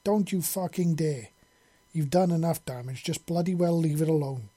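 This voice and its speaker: A man's voice: a little high, fairly quick, mellow, husky. A man voicing a furious, embarrassed woman scientist in an audiobook story, snarling and swearing. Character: furious, flustered, embarrassed woman scientist, foul-mouthed mother.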